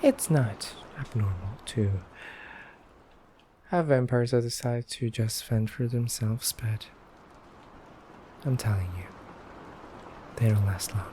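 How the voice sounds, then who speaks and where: A man playing a stern but courteous femboy vampire interrogator in a soft, deliberate ASMR roleplay, often near a whisper. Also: mid-range voice, a little hiss or hum, close to an everyday voice. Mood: stern warning.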